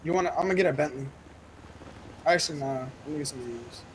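Higher-voiced man in game chat. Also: quick pace, slight background noise, rough recording. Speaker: fellow online player.

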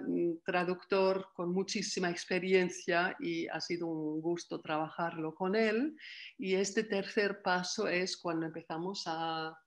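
An older woman, French by first language, speaks as a literary translator over a laptop webcam mic from a room at home. Her delivery is calm and reflective, laying out her process one step at a time. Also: on the low side. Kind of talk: video call interview. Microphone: laptop webcam mic on video call.

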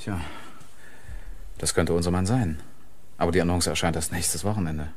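A man's voice: low voice, moderate pace, close to an everyday voice. A man playing a curious, polite detective sergeant in a radio crime play, speaking conversationally and a little hesitantly. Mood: attentive. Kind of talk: radio crime drama acting. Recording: noisy radio play recording.

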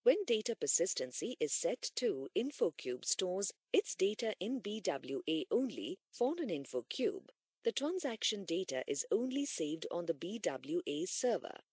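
Female e-learning narrator: lower register, varied intonation, formal scripted read. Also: sing-song, darkish tone, husky, no echo, clean studio sound. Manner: measured, formal reading.

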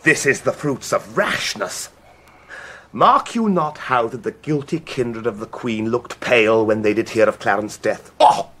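An actor playing a scheming English duke in a Shakespearean audio drama, with pointed, insinuating declamation. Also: male voice, a little high. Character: scheming English duke feigning righteous indignation.

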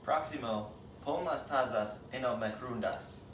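A young man with an American accent gives recipe instructions slowly and deliberately, as a learner of the language. His voice is of medium pitch. The room is echoey, with loud noise behind him. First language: English. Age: young adult. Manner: deliberate, halting learner's Spanish. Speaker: cooking vlog co-host. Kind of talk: rehearsed recipe narration. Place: indoors, home kitchen and dining room. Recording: echoey home kitchen, loud background noise.

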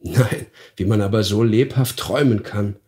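A man's voice: lowish voice, normal speed, darkish tone, close to an everyday voice. A man voicing a bewildered boy turned into a dwarf, earnest and pleading in fairy-tale style. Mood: puzzled. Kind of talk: dramatized fairy-tale audiobook.

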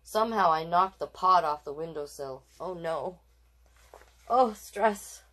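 A woman's voice: lowish voice, slow, fairly bright tone. A woman hosting a children's storytime, reading picture books aloud with playful, encouraging asides to young listeners. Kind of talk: picture-book read-aloud with chatty asides.

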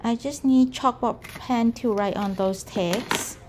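A woman in her thirties, a potter, calmly explaining her market packing in a gentle vlog narration. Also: a little high, noisy background. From Canada. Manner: slow, gentle, careful delivery. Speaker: potter vlogger. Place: home room indoors. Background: background music.

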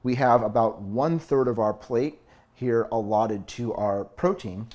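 A man's voice: medium pitch, measured pace, darkish tone. A man in his thirties, a fitness coach, talking through a meal in his home kitchen, earnest and upbeat, explaining step by step. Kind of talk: cooking demo talk to camera.

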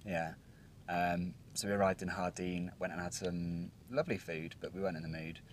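A young British man with a lowish voice chats through a travel recap, stumbling over ums and restarting sentences. He sits outdoors on a hilltop bench, picked up by a camera a few metres away, with a faint hiss behind him. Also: steady tone, moderate pace. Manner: rambling, hesitant with many ums and restarts. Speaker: travel vlogger. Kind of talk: casual travel recap chat.

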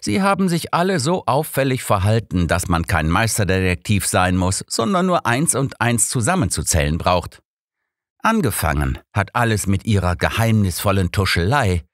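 A haughty old gentleman detective , acted out by a male reader, grumpy and sardonic. He speaks formally and emphatically with animated intonation, in a dry audiobook sound. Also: on the low side, normal speed, dry recording, voice pushed up. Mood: smug. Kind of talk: audiobook character reading.

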